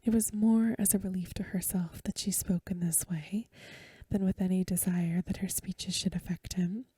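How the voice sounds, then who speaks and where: A woman reading a story aloud as soft-spoken ASMR, in a gentle, hushed, whispery voice close to the microphone. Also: lowish voice, fairly even intonation, moderate pace, breathy edge, no room sound. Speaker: ASMR host.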